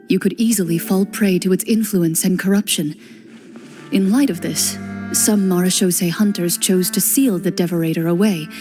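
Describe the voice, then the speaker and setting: A woman voicing a composed, stern young female duelist in a game cutscene, speaking in a calm, measured, quietly commanding way. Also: medium pitch, close to an everyday voice. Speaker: game character, a duelist hunter. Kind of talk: video game cutscene voice acting.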